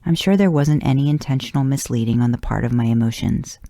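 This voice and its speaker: Low-voiced woman reading a story, creaky edge. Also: fast talker, warm tone, no echo, studio quality. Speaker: podcast host reading fan fiction aloud. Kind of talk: first-person story narration.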